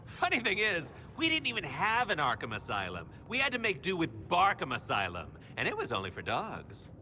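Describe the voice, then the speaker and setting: An actor playing a theatrical, gleefully murderous evil clown villain in a video game, teasing and mock-sweet. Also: male voice, a little high, wildly swooping pitch, brisk, bright, forceful tone, echoey room, a little hiss or hum, full character voice. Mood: joking. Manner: showy, teasing, mock-sweet, swooping delivery. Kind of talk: video game character acting.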